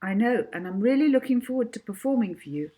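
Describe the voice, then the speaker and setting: A woman's voice: on the high side, animated, fast, warm tone, pitched above the performer's own voice, lightly acted. A woman voicing a polite, self-possessed schoolgirl in a children's audiobook. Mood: cool, polite. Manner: polite, composed.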